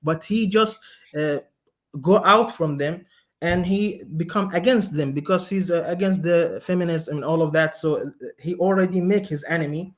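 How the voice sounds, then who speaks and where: Non-native English-speaking male caller who keeps restarting his sentences. Quite high voice for a man. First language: not English. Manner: halting, with many restarts and fillers. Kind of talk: arguing on a call-in stream.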